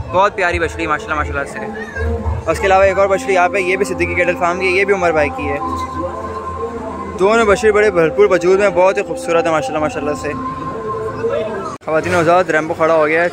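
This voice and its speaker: A young man with a Pakistani accent hosts a street vlog in a high voice. He talks very quickly and chattily, addressing his viewers and repeating phrases for emphasis. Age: 20s. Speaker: vlogger and host. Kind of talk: enthusiastic vlog commentary and street interviewing. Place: outdoors at night in a crowded tented cattle display.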